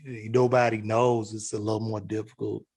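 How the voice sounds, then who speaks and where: A man's voice: fairly low pitch, level tone, normal speed, a little mellow. A man from Chicago's South Side with a Chicago accent, a guest comedian chatting on a podcast in a loose, profane way full of fillers. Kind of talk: casual podcast chat.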